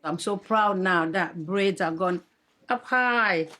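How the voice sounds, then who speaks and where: A woman from DR Congo, a hair braider, talking in an interview, animated and often laughing. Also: lowish voice, takes it slowly.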